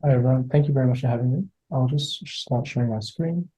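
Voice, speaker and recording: A man's voice: medium pitch, fast, warm tone, slightly rough, poor audio. A man in his thirties with an Australian accent, giving an online lecture over a video call in a hesitant way, with many fillers and repeated words. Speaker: guest speaker.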